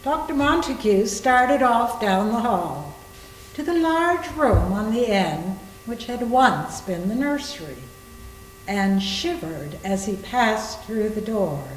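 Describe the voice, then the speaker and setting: A woman's voice: a little high, sing-song, slow pace. A woman reading scripted narration for a readers theater ghost story. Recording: echoey room with faint noise.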